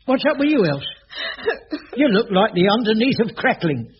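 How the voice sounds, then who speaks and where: An actor playing a chirpy, evasive middle-aged caretaker in a radio sitcom, joking and chuckling. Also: male voice, high voice, very animated, normal speed. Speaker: sitcom lead. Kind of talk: 1980s radio sitcom acting. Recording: dull old radio recording with hiss.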